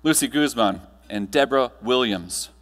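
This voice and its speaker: A man giving a motivational keynote to a live audience, telling stories with dramatic pauses and emphatic delivery. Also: medium pitch, lively intonation, a bit bright. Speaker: keynote speaker. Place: large room, live audience, amplified. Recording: echoey hall, long reverb.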